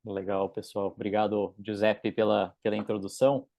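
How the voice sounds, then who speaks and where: A man's voice: fairly low pitch, flat delivery, normal speed, gravelly, darkish tone, no echo. Brazilian man hosting a webinar. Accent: Brazilian Portuguese. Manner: casual, many fillers and restarts. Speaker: webinar host. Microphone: video call.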